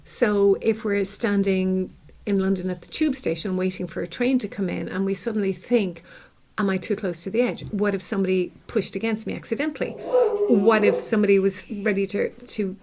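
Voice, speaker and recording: An Irish woman in middle age speaks as a podcast guest. She has a medium-pitched voice and explains ideas conversationally, the way a psychologist would, leaning on simple everyday examples. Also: steady tone, normal speed, darkish tone, slight background noise. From Ireland.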